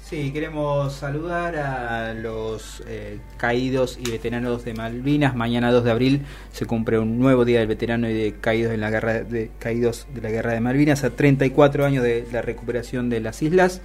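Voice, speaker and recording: Radio co-host, Argentine accent, mid-range pitch, casual delivery, light music behind. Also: steady tone, moderate pace, few pauses, darkish tone. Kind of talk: casual on-air greetings. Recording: music bed under the voice.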